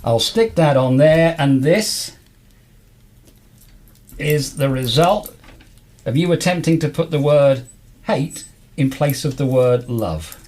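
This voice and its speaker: A man's voice: fairly high pitch, animated, slow pace, long pauses, a little mellow, slight background noise. A man hosting a casual live radio show, chatting and explaining in a jovial, encouraging, matey way. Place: radio studio. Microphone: studio broadcast microphone.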